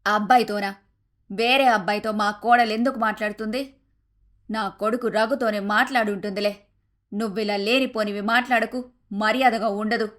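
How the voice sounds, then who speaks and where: A woman's voice: medium pitch, steady tone, normal speed, a bit bright, lightly acted. A woman voicing a protective, firm mother-in-law in an acted moral story. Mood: indignant.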